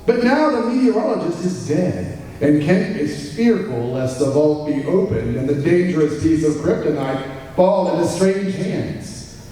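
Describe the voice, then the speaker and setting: A man plays a dramatic old-time radio announcer narrating an adventure serial. His voice is mellow and sits a little high. It comes from a distance in a very echoey hall. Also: animated, measured pace. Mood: dramatic, urgent. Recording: echoey hall, far from mic.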